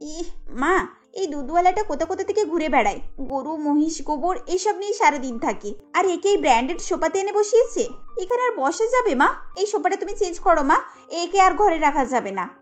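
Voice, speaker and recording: A woman's voice: extremely high pitch, expressive, brisk. A woman voicing a spoiled, snobbish young rich daughter in a cartoon, complaining in a disdainful, whiny manner. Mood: disgusted, whiny. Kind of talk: cartoon dialogue acting. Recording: light music under the voice.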